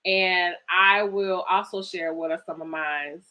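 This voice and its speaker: A woman with a somewhat low voice hosts a live video-call interview with an author. She sounds enthusiastic and casual, laughs often, and rambles a little with "like" and "um." She comes across as a middle-aged mom chatting about books. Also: steady tone, measured pace, lo-fi sound. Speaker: host of a virtual author storytime.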